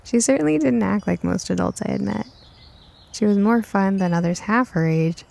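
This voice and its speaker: A woman's voice: medium pitch, moderate pace, gravelly, darkish tone. A woman reading scripted first-person fiction as its author and narrator.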